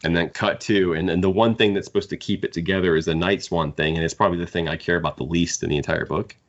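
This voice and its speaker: A man's voice: sits low, fairly even intonation, fast, muffled recording. A man co-hosting a book podcast, talking casually and analytically as he gives his opinions. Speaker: podcast co-host discussing books.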